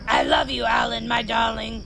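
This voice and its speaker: Gravelly, stubborn old mother. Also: fairly high pitch, wildly swooping pitch, normal speed, sharp tone, raised voice, a faint crowd, slight background noise, heavily acted. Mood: fond, defiant. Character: stubborn, affectionate older mother refusing to leave home. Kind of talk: audio drama acting.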